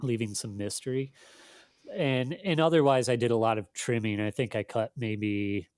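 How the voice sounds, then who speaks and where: A man speaking casually as an editor being interviewed, in a thoughtful, halting, self-deprecating way. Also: medium pitch, darkish tone, smooth, no room sound, studio quality. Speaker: magazine editor, interview guest.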